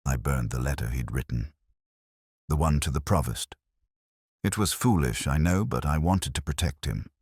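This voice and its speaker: A man with an extremely deep voice plays a secretive, grieving woman in a detective audio story. The confession is hesitant, fearful and subdued. The sound is close and dry. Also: moderate pace, darkish tone, slightly rough, dry recording, full character voice. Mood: guilty, defensive.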